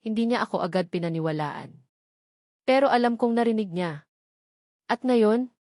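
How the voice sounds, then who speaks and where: A woman's voice: medium pitch, expressive, a little fast, a little mellow, dry recording, clean studio sound. A woman reading a scripted first-person romantic drama as a story narrator.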